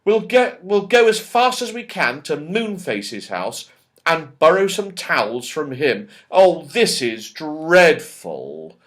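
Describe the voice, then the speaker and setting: A man's voice: on the high side, wildly swooping pitch, takes it slowly, a bit bright, full character voice. A man voicing a sensible young schoolboy in a storybook, practical and a little cross. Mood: earnest.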